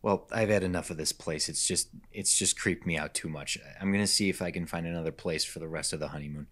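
Anxious low-voiced husband acted by a man. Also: fairly bright tone. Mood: shaken. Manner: anxious, halting. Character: jittery, nightmare-plagued young husband on honeymoon. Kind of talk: horror radio drama acting. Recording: clearly noisy background ambience.

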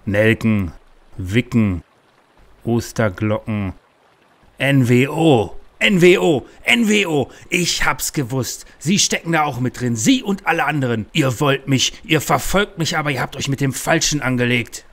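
A man's voice: mid-range voice, expressive, measured pace, a bit bright. An actor playing an unhinged, paranoid conspiracy-minded man with stilted speech in a parody detective audio drama. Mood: paranoid, menacing. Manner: stilted politeness breaking into paranoid ranting. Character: unhinged paranoid conspiracy-raving man, first stiffly polite.

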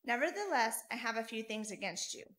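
A woman reading a scripture passage aloud in church in a measured, steady way. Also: a little high. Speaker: scripture reader.

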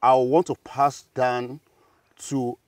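A middle-aged man with a Nigerian accent, a guest professor answering interview questions in an animated way, his voice rising high as he tells stories. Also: slow, no room sound, studio quality, distant mic. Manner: animated, rises high when telling stories, laughs easily. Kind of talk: interview conversation. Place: outdoor garden set, seated. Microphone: lapel.

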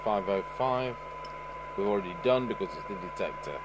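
A man's voice: lowish voice, moderate pace. A man giving dry, understated, step-by-step commentary as he does hands-on repair work at a workbench. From United Kingdom. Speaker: host, radio repair technician. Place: indoor electronics workbench. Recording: clear background noise with electrical hum. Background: steady hum and noise.

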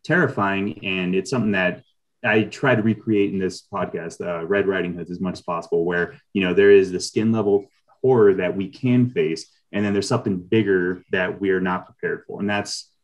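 Very low-voiced man explaining his work. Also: normal speed, rough recording. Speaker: writer, interview guest. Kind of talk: thoughtful interview talk. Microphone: video call.

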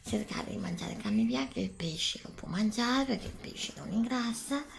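A middle-aged woman whispering softly in an ASMR roleplay as a nutritionist, giving instructions in a drawn-out way. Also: medium pitch, big pitch swings, creaky, a little hiss or hum. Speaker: ASMR creator role-playing a nutritionist.

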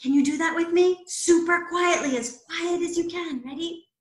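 A woman's voice: very high-pitched, normal speed, roomy sound. An American woman in her thirties hosting children's storytime, speaking to young children in an encouraging, exaggerated way from a small room at home. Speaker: library storytime host. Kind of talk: children's storytime, talking and sing-along. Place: indoors, small home room with closet doors.

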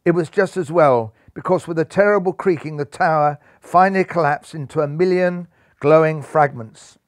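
Man in his 60s, slightly high voice, expressive storybook reading, dry sound. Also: moderate pace, no room sound. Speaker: storyteller reading a children's book. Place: small furnished room, seated in armchair indoors.

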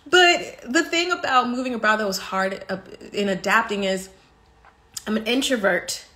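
An American woman in her thirties talks straight into a phone held close, hosting a relaxed live chat from a bright living room. Her voice sits a little high for a woman, and she speaks animatedly, gesturing as she goes. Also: normal speed. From United States. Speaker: podcast host on a live stream. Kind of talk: casual live-stream chat.

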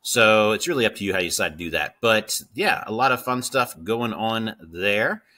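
A man's voice: fairly low pitch, animated, moderate pace, bright, forceful tone. A middle-aged man hosting a casual screen-share coding tutorial. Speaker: tutorial host.

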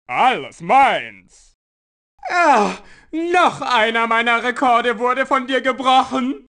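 A man's voice: very high-pitched, lively intonation, slow, sharp tone, exaggerated voice. An actor playing a pompous, gloating mad-scientist villain in a video game, theatrical and drawing out his words. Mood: whining.

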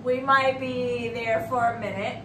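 High, measured woman, echoey. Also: fairly even intonation, over a noisy crowd. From Puerto Rico. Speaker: art show host, artist. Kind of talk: conversational talk show. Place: indoor room hung with paintings. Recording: echoey room, distant mic, noisy background.

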